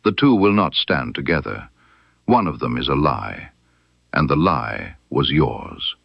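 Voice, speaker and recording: Guy doing a stern detective for an audio story, precise, deep voice, swoops for emphasis, dry sound. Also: animated, vocal fry, husky, no room sound, dull audio, heavily acted. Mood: accusing, precise. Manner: emphatic, precise, dramatic pauses. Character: stern, incisive male detective laying out his case.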